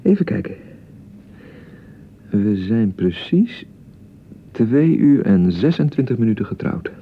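Clumsy young newlywed husband, dutch from the netherlands, creaky husky voice, blustery and halting, tries to reassure, tinny radio play sound. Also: male voice, lowish voice, very animated, exaggerated voice. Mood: awkward. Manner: halting, blustering, repeats himself. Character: awkward young bridegroom, eager to reassure. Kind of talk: naturalistic radio play dialogue. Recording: thin phone-like radio broadcast sound.

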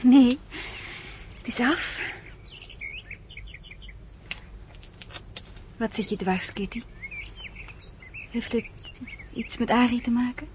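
A sensitive, loyal young girl in a radio play. Her voice is fairly high and halting, on an old recording with faint noise. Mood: gentle concern. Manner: hesitant, soft-hearted, halting. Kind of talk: radio drama acting.

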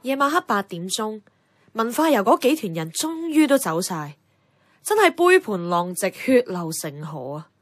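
A woman's voice: medium pitch, sing-song, normal speed, dry recording. A woman acting a wistful, earnest young Hong Kong woman in a Cantonese radio drama. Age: young adult. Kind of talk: radio drama acting with reflective first-person narration.

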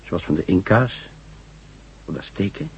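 An actor playing a polite, folksy and unbothered small-town sheriff in a science-fiction radio drama. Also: male voice, deep voice, expressive, normal speed, vocal fry. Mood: puzzled, unbothered. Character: easygoing, unhurried male small-town sheriff. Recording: dull lo-fi radio recording, humming noise.

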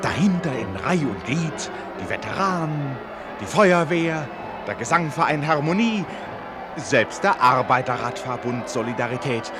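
An actor playing an eloquent storyteller in a radio drama, narrating in a florid, ceremonious and wry manner. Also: male voice, mid-range voice, animated, a little slow, a crowd in the background, lightly acted. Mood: festive, awed. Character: eloquent, wryly festive storyteller of a royal novel. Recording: music behind.

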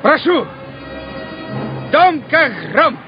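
An actor playing a folksy, jaunty male soldier with drawn-out, exclamatory speech. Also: very high voice, expressive, full character voice. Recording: dull old radio recording with music.

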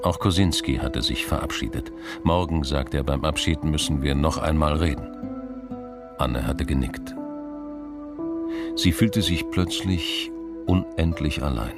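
A man narrating a radio crime drama as a sober, grave storyteller in a measured scripted style. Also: very deep voice, over loud music.

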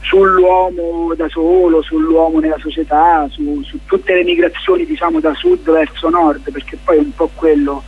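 Male interview guest, husky, higher-pitched, reflective and halting delivery. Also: expressive, phone-quality sound. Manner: halting, searching for words, many fillers and restarts. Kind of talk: reflective radio interview answers.

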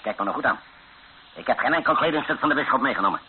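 A man's voice: medium pitch, expressive, rapid-fire, close to an everyday voice. An actor in a radio play as a bossy male army colonel, curt and commanding. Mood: insistent. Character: brisk, bossy army colonel giving orders. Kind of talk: radio drama acting. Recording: tinny, slightly noisy old radio recording.

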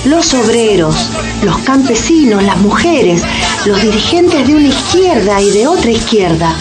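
An actress playing a solemn, stirring storyteller, reading scripted radio drama narration. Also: female voice, on the high side, very animated, measured pace, over music.

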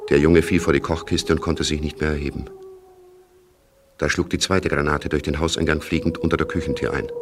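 A man reading scripted literary narration in a radio play, in a measured, detached, factual way. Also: very deep voice, little pitch movement. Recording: clearly noisy background.

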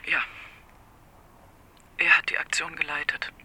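An actress playing a distraught, frightened woman confiding a secret in a radio drama, speaking breathily and haltingly. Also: low voice, little pitch movement, bright, forceful tone, full character voice, poor audio. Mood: distressed, tearful. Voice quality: breathy, whispery. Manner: halting, hesitant. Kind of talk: naturalistic radio drama acting.

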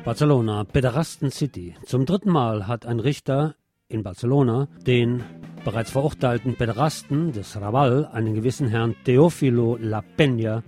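A man's voice: medium pitch, warm tone. A man presenting a scripted radio magazine with news and commentary, phrasing things in a wry, dramatic way.

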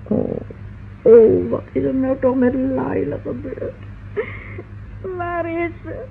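An actress playing an anxious, drowsy older housewife in a radio play, speaking haltingly and repeating words. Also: female voice, sits high, creaky, heavily acted. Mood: distraught. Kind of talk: old radio play acting. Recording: noisy, muffled phone-like old radio recording.

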